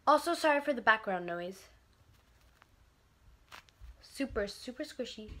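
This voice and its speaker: A teenage girl with a bright, fairly high voice chats through a casual product review in her bedroom. She sounds congested from a cold and rambles on with plenty of fillers, talking into the camera's own mic. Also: expressive, measured pace. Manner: chatty, rambling, many fillers. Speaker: vlogger. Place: bedroom, indoors.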